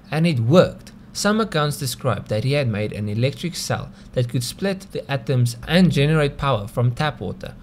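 A man's voice: mid-range voice, slight background noise. A man with a South African accent narrating a scripted explainer video in a conversational way, often posing rhetorical questions. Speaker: video host.

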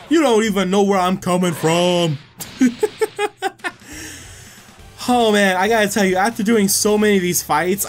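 A man giving casual, rambling gameplay commentary as he plays, swearing freely and often repeating himself. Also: high voice. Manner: profane, rambling, often repeats words and exclaims. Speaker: gaming video creator and player.

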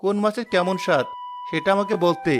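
A kind young fisher boy acted by a man speaks in a high male voice at a quick pace. He sounds simple and earnest, in cartoon style with music behind him. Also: close to an everyday voice. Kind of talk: cartoon character acting.

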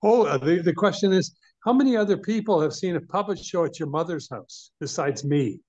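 A man's voice: high-pitched, animated, fairly quick, darkish tone, highs cut off. A man chatting casually as an audience member on a video call. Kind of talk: casual chat.

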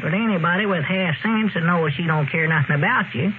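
High-pitched old Southern country codger, acted by a man. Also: fairly quick, lightly acted. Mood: skeptical. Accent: rural Southern US. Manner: folksy, drawling country talk. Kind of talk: 1930s-40s radio comedy acting. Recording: old radio broadcast, narrow band, noisy.

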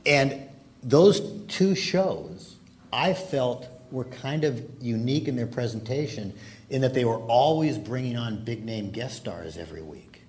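A man's voice: mid-range voice, lively intonation, measured pace, darkish tone. A man interviewing guests as host of a live panel on stage. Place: large hall stage, before an audience. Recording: noisy live hall recording.

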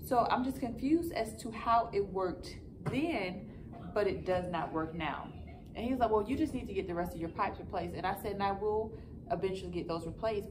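A woman in her thirties giving a frustrated, matter-of-fact update in a casual vlog style, recorded in a classroom a few feet from the camera. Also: mid-range voice. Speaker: vlogger giving a customer review. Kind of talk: casual update talk to followers. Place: school classroom, indoors. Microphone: camera a few feet away. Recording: clear background noise, phone-like distance in room.

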